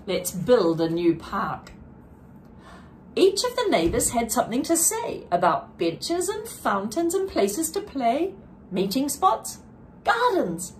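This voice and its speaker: A woman reading a rhyming picture book aloud expressively, with deliberate dramatic emphasis. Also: fairly high pitch, a little hiss or hum, low-quality recording. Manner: deliberate, dramatic emphasis with brief explanatory asides. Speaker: children's book reader.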